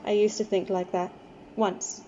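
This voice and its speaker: A woman playing a guarded, quiet homeless woman in her twenties in an amateur radio play, speaking tersely with understated wistfulness. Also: mid-range voice, level tone, unhurried, lightly acted. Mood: wistful. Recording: dull, slightly noisy home recording.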